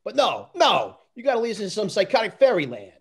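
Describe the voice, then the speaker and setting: A man playing an exasperated, wisecracking plumber in an amateur script read-through, griping sarcastically in a husky, gravelly voice. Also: high-pitched, wildly swooping pitch, fast talker, full character voice. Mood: sarcastic griping. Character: exasperated, wisecracking plumber, complaining older brother.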